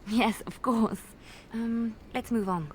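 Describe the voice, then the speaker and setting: An actress playing a gentle, devout young female minstrel in a fantasy game, with an earnest, lilting storyteller's delivery. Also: a little high, slow pace, a little hiss or hum. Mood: evasive. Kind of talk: fantasy game dialogue acting.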